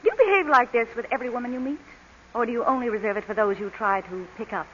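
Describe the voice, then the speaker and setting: An actress playing a poised, witty American widow with a crisp, composed manner in old-time radio drama style. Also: female voice, on the high side, fast, in a large hall, close to an everyday voice. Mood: icy, indignant. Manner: crisp, haughty, composed rebuffs. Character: poised, cool American widow with sharp wit. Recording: dull, lightly noisy vintage radio recording.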